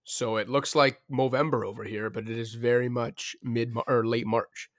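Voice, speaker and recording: A man hosting a sports podcast, talking quickly and casually, thinking aloud with plenty of fillers. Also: mid-range voice, fairly even intonation, no echo, studio quality. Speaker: podcast host.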